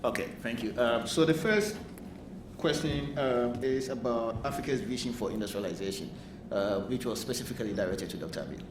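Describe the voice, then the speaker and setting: A man moderating a panel, taking audience questions in a brisk, informal way. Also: mid-range voice. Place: lecture hall. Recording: echoey hall, distant mic, noisy.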